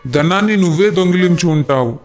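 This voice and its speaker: Guy doing a greedy hot-headed merchant for a kids cartoon, highish voice, declaims a lot, bit of music under. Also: lively intonation, fairly quick. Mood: accusing. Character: greedy, hot-tempered merchant accusing his friend. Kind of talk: animated moral story voice acting.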